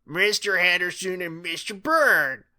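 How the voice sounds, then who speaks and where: Stern middle-aged schoolmistress, played by a man, curt, menacing and emphatic, drawn-out growl, storybook reading. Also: sits high, expressive, sharp tone, pitched above the performer's own voice, exaggerated voice. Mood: curt, commanding. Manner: slow, emphatic delivery.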